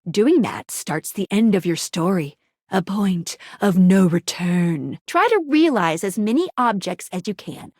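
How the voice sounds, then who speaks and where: A woman's voice: mid-range voice, lively intonation, a little slow, darkish tone, dry recording, studio quality. A woman voicing a chatty, quirky, upbeat game guide, a talking object who explains things in a playful, nerdy way. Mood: helpful, encouraging. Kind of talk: scripted video game character dialogue.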